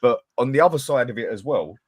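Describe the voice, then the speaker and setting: A man's voice: medium pitch, animated, fast, husky, dry recording. A man, blunt and decisive, closing down the argument in a casual football debate.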